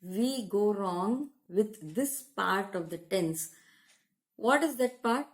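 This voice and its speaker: A woman with an Indian accent from India, giving a slow, deliberate English grammar lesson as a teacher. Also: mid-range voice, animated. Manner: slow, deliberate teaching delivery, switching into Hindi. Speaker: language teacher.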